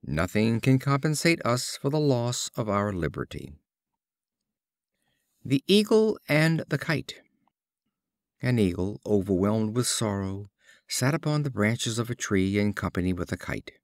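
Animated male storybook narrator. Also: fairly low pitch, unhurried, dry recording, clean studio sound. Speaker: audiobook narrator. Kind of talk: scripted storybook narration of fables.

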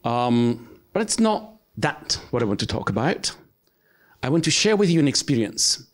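A man's voice: medium pitch, very animated, normal speed. A middle-aged man from Switzerland, a research professor, telling a personal story to an audience in a crowded cafe room on a handheld mic, earnest and emphatic with some hesitation. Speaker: research professor speaking at an event.

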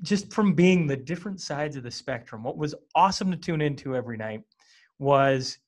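A man's voice: on the high side, animated, normal speed. A man in his thirties from small-town Western Canada, hosting a podcast at a studio microphone, eager and casual as he asks long winding questions. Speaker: podcast host. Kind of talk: casual interview. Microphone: studio microphone with earbuds.

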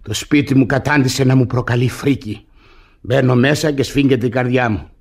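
A man's voice: mid-range voice, steady tone, husky. An actor playing a bitter, suspicious wealthy peasant husband in a radio comedy, exclaiming and repeating his words. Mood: bitter, self-pitying. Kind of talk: Greek radio theatre acting of classic comedy.

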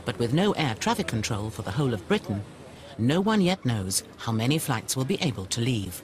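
A man's voice: medium pitch, very animated, a little fast, slight background noise. A man reading scripted narration for a television documentary.

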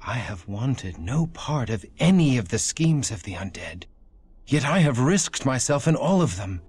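An actor playing a brooding, earnest crusader knight turned vampire in a video game, solemn and archaic. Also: male voice, mid-range voice, very animated, a little slow, a little mellow, slightly rough, exaggerated voice. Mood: bitter.

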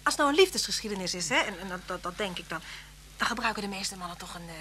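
A woman's voice: mid-range voice, animated, racing, sharp tone. An actress playing a hospitable, chatty woman in a naturalistic radio drama. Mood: musing. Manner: chatty, solicitous. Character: hospitable, chatty woman living alone in cottage. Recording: noisy old radio drama recording.

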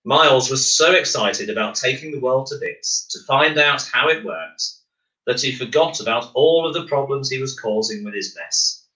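A man narrating a children's storybook in a measured, expressive way. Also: medium pitch. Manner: measured, expressive, clearly phrased. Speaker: bedtime story reader. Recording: roomy, echoing room.

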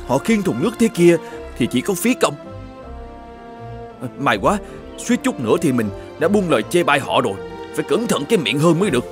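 A man voicing a grumpy, nagging older shoemaker in an animated folk tale, muttering and exclaiming as he talks. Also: on the high side. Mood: scornful muttering. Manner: exclaiming, muttering to himself, scolding. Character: grumpy, nagging older shoemaker husband, scornful and quick to scold.

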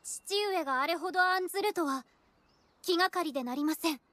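Game role: young noblewoman of samurai house, extremely high voice, formal, determined. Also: a little fast, fairly bright tone, no echo. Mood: concerned, resolute. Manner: formal, polite, resolute. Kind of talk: samurai game drama acting.

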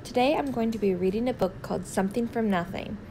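Female storytime reader, mellow mid-pitched voice, narrating a picture book, slight background noise. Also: quick pace, rough recording.

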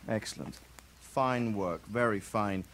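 An actor playing a commanding, gracious medieval lord in a video game, speaking in a measured, formal way. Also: male voice, lowish voice, fairly bright tone, slight background noise. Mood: composed, approving. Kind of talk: dramatic video game cutscene acting.

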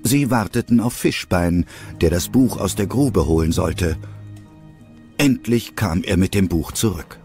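A man's voice: low-pitched, measured pace, dark tone, faint music behind. A man narrating a scripted adventure story as an audio drama.

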